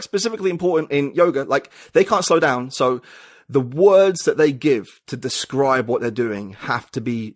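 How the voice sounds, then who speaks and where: A man talking as a podcast guest, thinking aloud in a rambling, reflective way with many fillers and restarts. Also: mid-range voice. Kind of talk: casual conversation.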